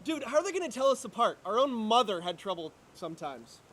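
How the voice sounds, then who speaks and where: A man's voice: very high-pitched, sing-song, fairly quick, fairly bright tone, exaggerated voice. A man acting an anxious, exasperated twin brother who gets indignant and sarcastic in an amateur drama reading. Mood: worried, annoyed.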